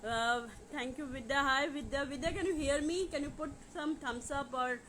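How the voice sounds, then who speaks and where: Casting: woman with Indian accent, slightly high voice, live-streaming coach, halting and repetitive informal talk, faint noise behind. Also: fairly bright tone. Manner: repetitive, halting with frequent "you know". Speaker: life coach hosting a live question session. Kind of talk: informal livestream talk to viewers.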